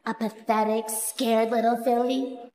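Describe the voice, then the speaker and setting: A woman's voice: fairly high pitch, flat delivery, a little slow, warm tone. A woman voicing a young female cartoon pony's evil shadow double, with a theatrical, taunting villain delivery. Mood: sinister taunting. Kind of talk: animated series voice acting. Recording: echoey reverb, some background noise.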